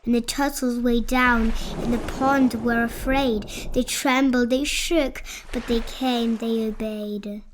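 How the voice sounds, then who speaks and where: A woman reading rhyming narration aloud for children in an animated, expressive storytelling style. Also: on the high side, slow pace, few pauses, background noise. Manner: dramatic, expressive.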